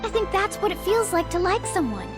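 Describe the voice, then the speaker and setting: A woman's voice: very high voice, very animated, a little fast. A woman voicing a kindhearted young girl in an anime game, sincere and a little hesitant. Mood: gentle, reflective. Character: earnest, kindhearted young artificially created girl. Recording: music behind the voice.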